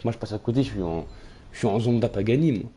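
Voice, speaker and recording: A man's voice: fairly low pitch, fast. A man with a slight Belgian French accent, a streamer giving rambling, casual live commentary on his racing game and swearing often. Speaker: gaming streamer. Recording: game engine noise in background.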